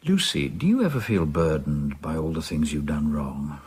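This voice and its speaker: An actor playing a courteous, uneasy older English solicitor in a radio drama, polite, formal and measured. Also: male voice, very deep voice, lively intonation, darkish tone, a little hiss or hum. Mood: troubled, reflective. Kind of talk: radio drama acting with brooding narration.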